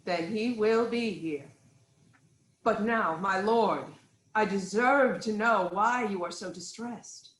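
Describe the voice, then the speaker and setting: A woman playing a poised, concerned queen in a formal, measured reading of Greek tragedy. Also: mid-range voice, a bit bright. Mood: concerned, questioning. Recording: roomy, echoing sound.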